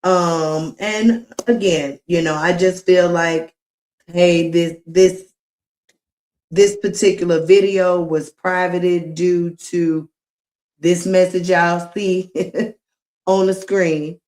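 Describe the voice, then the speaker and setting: A woman's voice: lowish voice, steady tone, darkish tone. A woman livestreaming, giving casual commentary and talking straight to her viewers. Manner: slow, drawn-out talk, addressing viewers directly.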